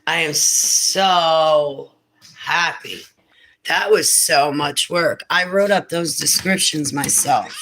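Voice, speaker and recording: A woman with a raspy voice hosting a casual livestream, rambling through her plans and her faith. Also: low voice, slow, a bit bright, rough recording. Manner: rambling, faith-filled musings. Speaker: internet radio host.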